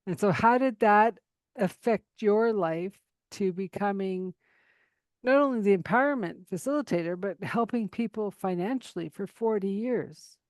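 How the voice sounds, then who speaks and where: A woman's voice: mid-range voice, normal speed, a little mellow, no echo. A woman hosting an interview podcast, encouraging her guest with short backchannel replies. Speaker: podcast host.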